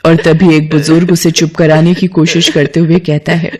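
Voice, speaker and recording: A woman's voice: sits low, flat delivery, normal speed, darkish tone. A woman narrating a scripted moral story as a storyteller.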